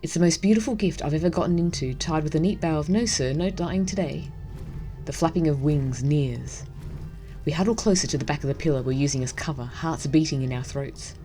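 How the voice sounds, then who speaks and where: Low, mellow woman presenting a game. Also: brisk, soft background music. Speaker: gaming video host. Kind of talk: casual game commentary and read-aloud narration.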